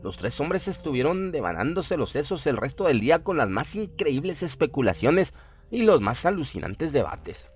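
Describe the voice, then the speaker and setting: A man's voice: fairly high pitch, sing-song, normal speed. Male horror narrator over music. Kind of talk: dramatic scripted horror story narration. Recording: prominent music under the voice.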